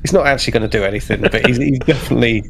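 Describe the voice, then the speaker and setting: A man's voice: mid-range voice, racing, vocal fry, slight background noise. A man playing a tabletop roleplaying game, casually improvising his character's actions and searching for words.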